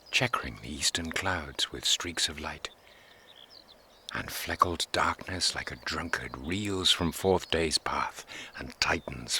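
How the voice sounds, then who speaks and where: An actor playing a contemplative older friar, musing in measured classical verse in an audio drama. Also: male voice, extremely low pitch, bright, forceful tone, slight background noise, full character voice. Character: contemplative older friar gathering herbs at dawn.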